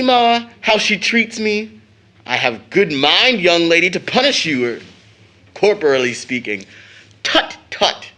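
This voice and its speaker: An actor playing a proud, teasing teenage son in an audio drama of an early 1900s play, with an American accent and a theatrical, boyish manner. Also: male voice, high voice, bright, forceful tone, heavily acted. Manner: theatrical, jokingly pompous with slangy boyish talk.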